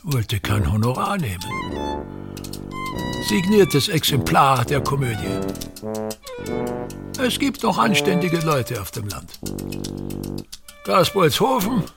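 An actor playing a pompous, self-pitying aging actor-playwright in a radio drama, declaiming in brooding, halting fragments with grand scorn and a gravelly voice. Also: male voice, a little high, huge pitch swings, over music, heavily acted. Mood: musing, bitter. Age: older. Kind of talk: theatrical radio drama monologue.

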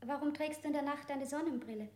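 Shrill threatening interrogator, played by a woman, echoey room, clear noise. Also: fast, natural voice. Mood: menacing. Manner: harsh, threatening. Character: shrill, menacing female interrogator.

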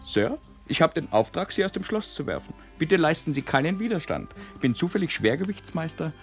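A stiff, correct butler. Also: male voice, on the high side, sing-song, fairly quick, soft background music. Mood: formal. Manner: stiffly correct, polite, dry. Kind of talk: radio play acting.

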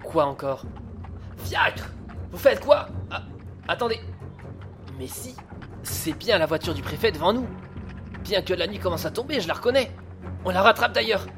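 Flustered, high-pitched police inspector. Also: male voice, lively intonation, moderate pace, long pauses, a bit bright, soft background music. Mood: agitated, exasperated. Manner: stammering, grumbling. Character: flustered, put-upon police inspector, exasperated younger brother. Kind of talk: French audio drama acting.

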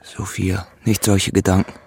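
Low, gravelly, sombre man. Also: flat delivery, unhurried, dry recording, heavily acted. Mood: resolute. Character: grave, guilt-laden man, resolute and unsentimental. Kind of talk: German radio play acting.

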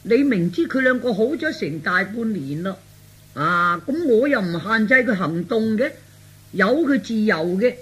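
High-pitched Cantonese old father. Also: lively intonation, normal speed, sharp tone, slightly rough, full character voice. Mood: nonchalant. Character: easygoing, exclamatory elderly father with grown son. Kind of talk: one-man radio storytelling.

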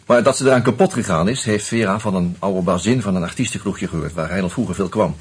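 An actor playing an earnest, troubled man turned amateur sleuth in a Dutch radio play, insistent and halting as he reasons aloud. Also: low voice, slightly rough. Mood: brooding, intent. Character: earnest, agitated amateur sleuth, a murdered man's loyal friend.

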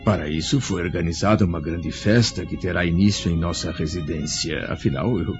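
A man's voice: sits low, huge pitch swings, brisk. An actor playing a proud, affectionate elderly senator and father in a radio drama, stately and theatrical. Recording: dull lo-fi audio, faint music behind.

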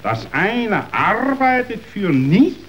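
A grandiose, self-justifying tycoon in a verse radio play. He declaims slowly and wheedles in a high voice that swings widely. The recording is dull. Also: sing-song, fairly bright tone, slight background noise, heavily acted. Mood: condescending, musing. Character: ruthless meat magnate, grandiose, sentimental, self-justifying. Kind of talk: theatrical verse radio drama.

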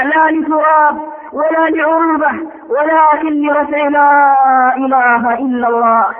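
A man delivers a sermon in a very high-pitched voice that stays on a fairly level line. He exhorts in formal rhetorical phrases, leaning on refrains and pointed questions. The recording is thin and narrow, like a telephone line. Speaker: preacher. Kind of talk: impassioned religious sermon in formal Classical Arabic. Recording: thin phone-like lo-fi sound.

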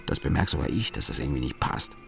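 A man's voice: rumbling low, big pitch swings, quick pace, gravelly, a bit bright, faint music behind, full character voice. A man playing a weary, cynical office engineer in a radio play, muttering a sardonic monologue in clipped fragments. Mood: sardonic, observant.

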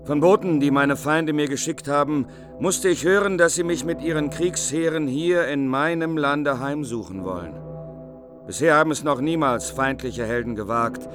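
An actor playing a burdened medieval king, speaking gravely and formally in a German radio play. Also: fairly high pitch, moderate pace, over music, natural voice. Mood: troubled, grave. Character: troubled medieval king, burdened by threat of war.

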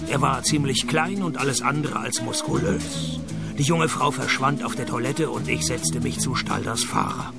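Gravelly, sardonic private detective. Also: male voice, mid-range voice, normal speed, loud music behind the voice. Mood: dry, sardonic. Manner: dry, deadpan sarcasm. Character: wry, sardonic male private detective narrator. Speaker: lead detective and narrator. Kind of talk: radio play narration and dialogue.

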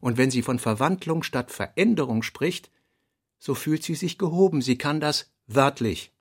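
A man reading literary notes and prose for a radio play, in deliberate, clipped phrases with pauses between them. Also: mid-range voice, animated, husky.

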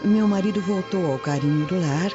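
A woman's voice: on the low side, normal speed, natural voice. A woman playing a worldly, knowing Roman matron confiding in a friend in a radio drama. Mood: reassuring. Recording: dull lo-fi audio over music.

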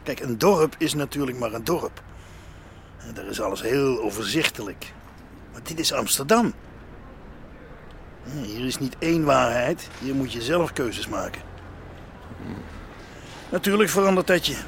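A man's voice: medium pitch, expressive, a little slow, pauses often. Worldly city man, teasing, faint street noise. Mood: wry. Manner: laid-back, teasing.

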